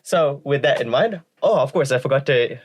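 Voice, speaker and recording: A man hosting a podcast, reading a disclaimer and introducing his guest in a friendly, slightly halting way. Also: mid-range voice, lively intonation. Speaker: podcast host.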